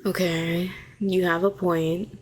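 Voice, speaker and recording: A woman playing a weary, bitter telepathic villain who was once a hero, speaking to the listener in a sardonic, deliberate way in an audio roleplay. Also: lowish voice, little pitch movement. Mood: resigned, wry. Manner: deliberate, sardonic, rhetorical questioning, condescending endearments.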